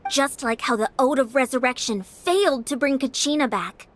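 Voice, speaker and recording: A woman's voice: high voice, moderate pace, a little hiss or hum, natural voice. A woman playing an earnest, questioning young female hero in a video game. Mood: realizing. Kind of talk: scripted video game dialogue.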